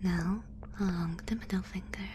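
A woman playing a curious, analytical alien girl in a whispered ASMR roleplay, measured and detached. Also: on the low side, steady tone, dark tone, slight background noise, close to an everyday voice. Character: clinical, curious alien girl studying a human.

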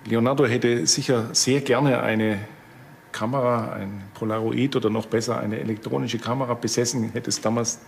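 A man's voice: medium pitch, steady tone, normal speed, creaky. A man speaking as an expert presenter, explaining in his own words. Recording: noisy location sound. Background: ambient noise.

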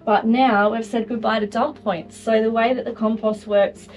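A woman's voice: a little high, steady tone, normal speed, poor audio. A young Australian woman chatting casually as she shows round her caravan, upbeat with plenty of ums and likes. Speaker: vlogger showing a caravan. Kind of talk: casual walk-through chat. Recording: faint music under the talk.

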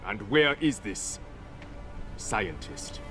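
A man's voice: on the low side, big pitch swings, very slow, sharp tone, faint music behind, heavily acted. An actor playing a proud, guarded king in a game, speaking in a regal, formal, firm manner. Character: proud, guarded warrior king protecting his realm. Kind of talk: dramatic game cutscene acting.

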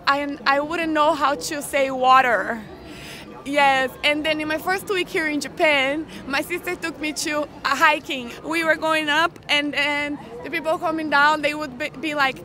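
Lively woman, high and bright. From Brazil. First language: Portuguese. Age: 20s. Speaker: interviewee. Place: outdoors on a busy city street at night. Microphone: handheld mic.

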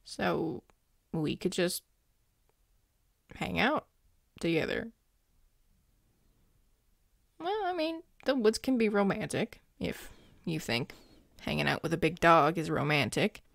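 A woman acts out a grumpy werewolf boyfriend in an intimate audio roleplay. The voice is lowish and gravelly, recorded very dry. The delivery is halting, gruff and tender, addressed straight to a loved one. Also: sing-song, dry recording, heavily acted. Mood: soft, coaxing. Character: grumpy, devoted young male werewolf boyfriend.

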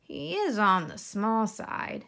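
A woman's voice: mid-range voice, sing-song, takes it slowly, a bit bright, full character voice. A woman voicing a bossy, hungry, short-tempered male fox villain in a children's storybook, gloating and growling. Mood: pondering. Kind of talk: children's storybook read-aloud.

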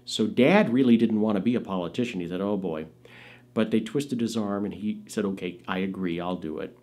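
A man's voice: lowish voice, a little fast, a little mellow. An American man in his fifties telling chatty anecdotes casually in an interview, as a raconteur. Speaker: interviewee, actor and filmmaker recalling his father. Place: small indoor studio with dark curtains.

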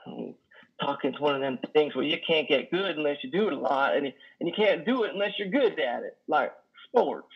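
Man playing a high-pitched, halting lonely trucker. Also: expressive, gravelly, slightly rough, full character voice. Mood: flustered, self-deprecating. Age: 30s. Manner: halting, stammering, nervous. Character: lonely, awkward, self-deprecating trucker, plain-spoken and folksy. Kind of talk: play reading over video call. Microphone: headset on a video call. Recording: dull video-call audio.

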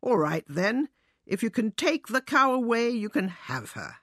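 A hearty storybook dairyman, acted by a woman reader. He is jovial and scornful, speaking with lively pitch in a dry, close sound. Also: measured pace, dry recording, exaggerated voice. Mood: mocking. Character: mocking, jovial male dairyman. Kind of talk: storybook character voice.

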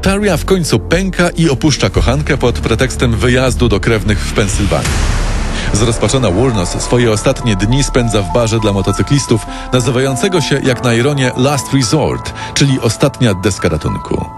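A man's voice: medium pitch, animated, normal speed. A man narrating a scripted true-crime radio story in a dramatic storytelling manner. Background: prominent music bed.

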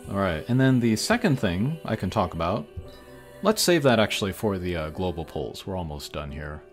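A man's voice: sits low, sing-song, normal speed. A man hosting a gaming channel, giving casual, hesitant commentary as he thinks aloud over mobile game pulls. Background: game music.

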